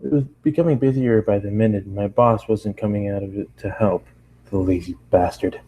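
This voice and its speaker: A man's voice: on the low side, a little fast, mellow, husky, poor audio. A man narrating a first-person story as an amateur reader.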